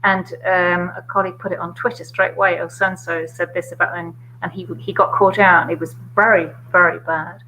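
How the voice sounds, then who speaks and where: A woman's voice: on the low side, little pitch movement, fairly quick, few pauses, bright, forceful tone, slight background noise, low-quality recording. Older woman, London middle-class accent. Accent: London middle-class English. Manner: chatty, laughs often, many fillers. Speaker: guest, former MP. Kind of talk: casual political chat.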